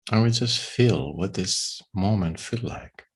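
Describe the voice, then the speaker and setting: Low, husky man guiding a meditation. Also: animated. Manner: slow, gentle, unhurried phrasing with long pauses. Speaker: meditation guide. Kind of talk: guided meditation.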